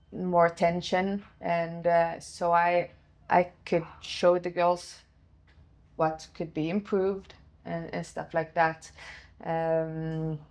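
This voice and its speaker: A woman from Sweden, speaking English as a second language, telling her story casually in an interview with frequent fillers and restarts. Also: lowish voice. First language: Swedish. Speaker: guest, former goalkeeper and goalkeeper coach.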